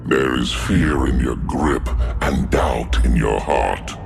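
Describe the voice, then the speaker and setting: An actor voicing a cruel, bloodthirsty demonic living scythe in a video game, with a gravelly, menacing delivery. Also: male voice, a bass voice, slow pace, exaggerated voice. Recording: game audio with music behind.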